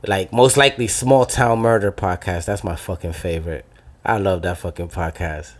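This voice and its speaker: A man's voice: deep voice, moderate pace. A young man with a Dominican American accent from New Jersey, hosting a podcast in loose, profane banter that drops into Spanish. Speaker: podcast host. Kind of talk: casual banter.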